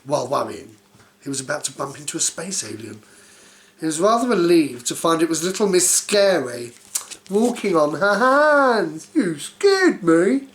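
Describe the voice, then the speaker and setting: A woman's voice: low voice, very animated, moderate pace. A woman reading a children's story aloud in a silly, theatrical way, dropping in playful asides.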